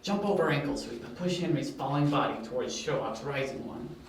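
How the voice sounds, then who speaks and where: A man's voice: medium pitch, a little fast, lightly acted. A man playing a smug, taunting criminal mastermind with menacing politeness in an amateur audio drama. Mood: confident, calculating. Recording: very echoey, distant, with faint hiss.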